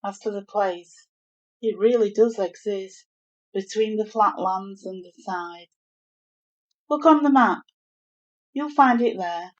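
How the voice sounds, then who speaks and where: Woman reading poetry, super slow and careful, kinda muffled lo-fi sound. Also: medium pitch. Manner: measured, deliberate. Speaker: poetry reader. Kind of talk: poetry recitation. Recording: dull lo-fi home recording.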